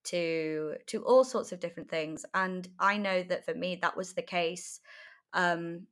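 Woman late 20s from north wales, slightly low voice, chatting candidly as a guest, thoughtful n emphatic. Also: steady tone, unhurried. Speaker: interview guest, article writer. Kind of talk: candid conversational interview answers.

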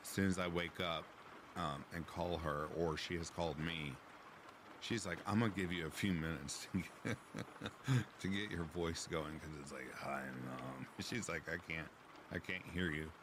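A man with a deep, raspy voice hosting a livestream, chatting casually and answering comments from the live chat. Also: measured pace, noisy background. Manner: relaxed, chatty, reads chat aloud. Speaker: livestream host.

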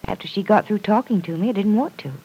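An actress playing an earnest, stubborn young woman in an old-time radio serial, expressive and gently insistent. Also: medium pitch, quick pace, breathy edge, low-quality recording. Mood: earnest, defensive. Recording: vintage radio drama recording.

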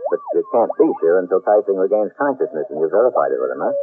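An actor playing a clipped, wisecracking, take-charge American adventurer in an old-time radio drama. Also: male voice, sits low, sing-song, fast talker. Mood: probing, skeptical. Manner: clipped, wisecracking, hard-boiled. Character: brisk, take-charge American adventurer narrating his exploits. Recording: muffled vintage radio recording with music.

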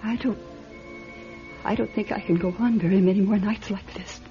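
A woman's voice: mid-range voice, steady tone, moderate pace, dark tone, close to an everyday voice. A woman playing a stubborn, distraught middle-aged widow in a classic radio drama, with a fragile, hesitant, emotional delivery. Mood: pleading. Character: distraught, stubborn grieving widow of a famous pianist. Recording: muffled vintage radio, music behind.

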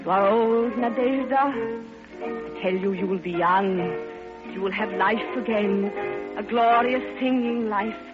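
An actress playing a passionate, lonely elderly widow, theatrical and yearning, in an old radio drama. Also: female voice, mid-range voice, unhurried, lightly acted. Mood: rapt, yearning. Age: older. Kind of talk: 1950s radio drama acting. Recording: dull old radio recording, faint music behind.

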